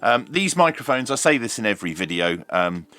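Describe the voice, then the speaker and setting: A man's voice: medium pitch, very animated, fairly quick, pushed and bright, no echo, soft background music. A British man presenting an unboxing review as host, with a jokey, tongue-in-cheek manner. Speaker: host of a radio review channel.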